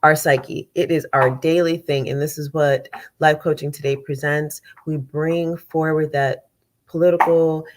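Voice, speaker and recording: A woman host with a low voice and a congested, stuffy sound talks loosely to her audience. She rambles, repeats herself and fills pauses with ums as she warms into the show. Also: steady tone. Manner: chatty, rambling, with many fillers and repeats. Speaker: conflict coach and show host. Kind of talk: casual live show chat.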